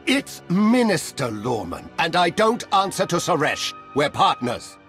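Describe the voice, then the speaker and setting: The indignant, self-important official you'd hear sneering at the hero in a game cutscene, petty and cowardly, highish and swooping over faint music. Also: male voice, lively intonation, normal speed, bright, forceful tone. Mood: haughty, indignant. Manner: pompous, indignant. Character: pompous male government minister, petty, cowardly, villainous. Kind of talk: video game voice acting.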